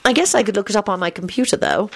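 A woman's voice: mid-range voice, racing, creaky, no room sound, voice pushed up. A woman voicing a polite, curious young woman in a storybook reading. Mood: amused.

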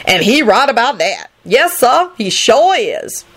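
A woman's voice: a little high, very animated, measured pace, a bit bright, pitched above the performer's own voice, exaggerated voice. Woman putting on a brash, vain, folksy woman. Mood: excited, proud. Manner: showy, folksy ungrammatical speech.

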